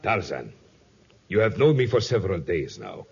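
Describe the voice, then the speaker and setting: Suspicious, domineering plantation boss; deep, heavily rasped, formal and self-justifying; muffled 1950s radio sound. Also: male voice, big pitch swings, moderate pace, darkish tone, heavily acted. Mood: stern, defensive. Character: domineering older plantation owner, suspicious, defensive father. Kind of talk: 1950s radio drama acting. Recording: dull vintage 1950s radio recording.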